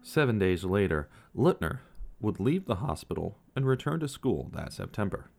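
Gravelly low-voiced man narrating true crime. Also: big pitch swings, darkish tone. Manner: measured storytelling with casual asides to viewers. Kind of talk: scripted documentary narration. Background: faint guitar music.

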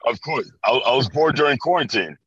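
A young American man in his early twenties, from Chicago, talks casually as a guest. His voice is on the low side but bright and forceful. The recording is close and dry, a bit dull and lo-fi. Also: animated, fairly quick, slightly rough, no echo. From Chicago, USA. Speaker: interview guest. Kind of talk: casual chat. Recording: dry, slightly dull band-limited audio.

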